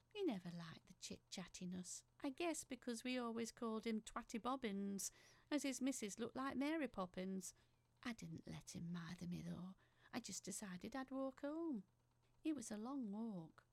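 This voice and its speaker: A woman's voice: fairly high pitch, gravelly, no room sound. A woman playing a chatty, apologetic working-class factory worker, telling her story as a rambling, wistful letter in an audio drama. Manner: rambling, self-deprecating, wistful.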